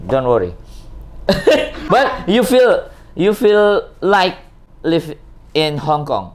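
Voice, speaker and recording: A woman's voice: fairly low pitch, very animated, slow, a crowd in the background. A woman with an Indonesian accent interviewing a domestic worker in simple English, laughing often and repeating "wow" and "okay". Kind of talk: casual chat in simple English.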